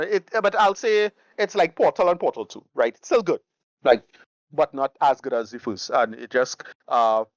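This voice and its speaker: A man's voice: medium pitch, dry recording. A man giving casual podcast reviews, restarting phrases often and leaning on enthusiastic slang. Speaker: podcast co-host. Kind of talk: casual chat, reviewing favourite games.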